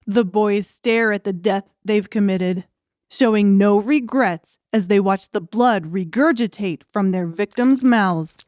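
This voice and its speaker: A woman's voice: mid-range voice, darkish tone. A woman reading a scripted audiobook story as a dramatic narrator. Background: music.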